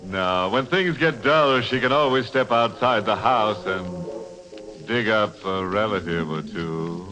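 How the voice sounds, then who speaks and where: An actor playing a gleefully macabre horror host on a 1940s radio show, with sly, theatrical, punning delivery. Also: male voice, fairly low pitch, unhurried, lightly acted. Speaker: horror anthology host and narrator. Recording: old radio recording with music.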